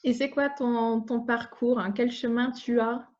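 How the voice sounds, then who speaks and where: A woman's voice: on the high side, steady tone, a little slow, a little mellow, lo-fi sound. A woman hosting an informal interview, introducing and questioning her guest. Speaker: host, interviewer.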